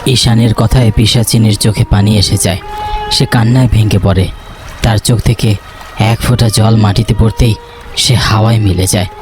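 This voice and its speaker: A man's voice: fairly low pitch, steady tone, moderate pace. A man narrating a scripted horror cartoon story in a dramatic storytelling style. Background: music.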